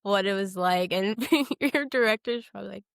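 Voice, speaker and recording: A woman's voice: medium pitch, moderate pace, vocal fry, a bit bright, smooth, no echo, clean studio sound. A woman co-hosting a podcast in casual, supportive interview chat. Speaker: podcast co-host.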